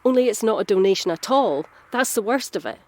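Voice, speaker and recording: A woman's voice: mid-range voice, lively intonation, brisk, darkish tone, no echo, close to an everyday voice. An actress playing a frank, caring working woman, explaining things earnestly in a naturalistic audio drama.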